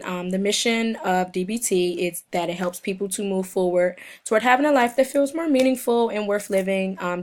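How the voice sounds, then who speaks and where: Hoarse young woman giving a presentation. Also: medium pitch. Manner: reads from prepared notes, halting in places. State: hoarse, sniffling from a cold. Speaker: student presenter. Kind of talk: recorded class presentation.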